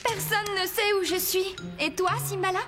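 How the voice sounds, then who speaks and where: Really high little girl cartoon voice, friendly, curious, long echo around it. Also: steady tone, normal speed, over loud music. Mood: anxious, practical. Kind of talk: French animated series dialogue.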